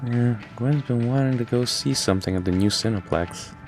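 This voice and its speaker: A man's voice: medium pitch, moderate pace, darkish tone, soft background music, lightly acted. A man playing an easygoing, conscientious teenage boy musing to himself in an audio drama. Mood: pensive.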